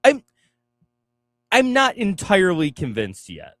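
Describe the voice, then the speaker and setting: A man's voice: high-pitched, huge pitch swings, takes it slowly, no room sound, clean studio sound. A young man co-hosting a sports radio show, easygoing and agreeable. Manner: easygoing, agreeable, laughs often. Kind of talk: casual sports chat. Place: radio studio. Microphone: studio mic.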